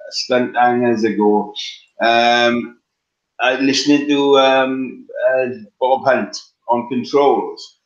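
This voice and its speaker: Middle-aged welsh guy on a webcam call from his couch, lots of ums, roomy dull sound. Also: medium pitch. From Wales. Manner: halting, many ums and restarts. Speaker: guest, competition flyer. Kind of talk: casual video call chat. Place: home living room, on a couch. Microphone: webcam on a video call.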